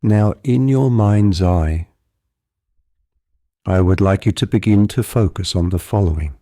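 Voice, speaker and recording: A man guides a relaxation exercise in a low, warm voice. His delivery is very slow, calm and deliberate, with a gentle hypnotic flow. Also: dry recording, studio quality. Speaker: guided visualisation narrator. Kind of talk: guided meditation, soothing hypnotic narration.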